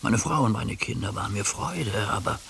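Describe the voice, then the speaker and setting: An actor in a German radio play playing an anguished ageing judge who speaks for his neglected heart in a halting, hushed confession. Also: fairly low pitch, animated, vocal fry. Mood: bitter, sorrowful. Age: older. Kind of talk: German radio play acting, intimate monologue. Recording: hissy radio play recording.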